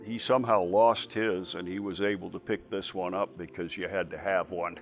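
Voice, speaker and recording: An older man slowly recalling family stories in an interview. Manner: slow, gravelly storytelling with lively pitch. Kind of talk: reminiscing interview. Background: guitar music.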